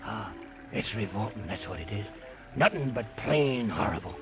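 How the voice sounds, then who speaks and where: A melodramatic, grumbling animal sidekick. The voice is gravelly and swings broadly, complaining in comic radio style on a dull, noisy old recording. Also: male voice, lowish voice, expressive, moderate pace. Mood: grumbling, put-upon. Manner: complaining, says "moida" for murder. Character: grumbling, melodramatic beast of burden Gorgonzola. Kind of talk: old-time radio comic character acting.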